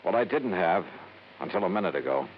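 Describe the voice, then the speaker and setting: Hard-boiled American investigator: clipped, sardonic, brisk delivery, vintage muffled radio sound. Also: male voice, deep voice, big pitch swings. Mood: probing, suspicious. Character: tough, wry male freelance insurance investigator. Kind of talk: 1950s radio noir acting. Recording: muffled, noisy old radio recording.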